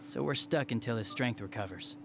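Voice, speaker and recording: An actor playing a young junior police detective in a video game, casual and slightly awkward. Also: male voice, medium pitch, fairly quick, creaky, very noisy, lightly acted. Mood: matter-of-fact.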